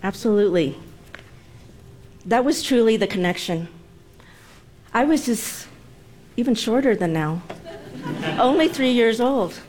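Woman, middle-aged, from Vietnam, Vietnamese first language; slow, deliberate storytelling speech, voice a bit high. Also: lively intonation, a little mellow, a little hiss or hum. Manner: slow, measured, storytelling delivery. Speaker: speaker. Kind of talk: prepared speech to an audience. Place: stage before a live audience.

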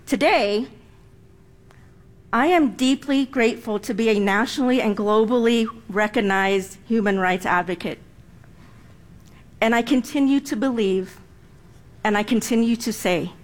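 A woman in her forties, born in Vietnam with Vietnamese as her first language, giving a prepared personal speech in a deliberate, emphatic way. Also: a little high. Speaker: speaker.

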